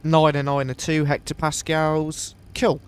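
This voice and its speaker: A man with a sniffly voice from a coming cold, calmly thinking aloud step by step as he streams a flight simulator session. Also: a little high, measured pace, smooth. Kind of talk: casual live walkthrough of a flight simulator.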